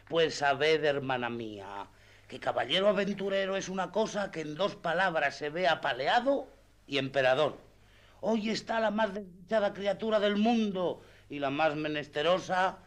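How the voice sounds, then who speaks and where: A man's voice: on the high side, fairly bright tone. A man playing a talkative, earthy squire in a radio play, folksy and self-important as he explains things. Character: talkative, earthy squire, boastful of his master. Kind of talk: radio theatre acting.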